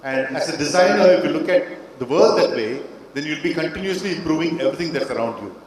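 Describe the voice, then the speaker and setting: A man's voice: fairly high pitch, lively intonation, quick pace, crowd noise. A man introducing himself as a panelist at a conference, explaining earnestly with frequent hesitations, on a handheld microphone. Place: large conference hall. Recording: very echoey hall with faint ambient noise.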